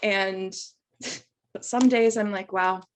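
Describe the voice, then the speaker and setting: A young woman co-hosting a casual reaction chat, chatty and animated, laughing often. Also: mid-range voice, extremely slow. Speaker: co-host.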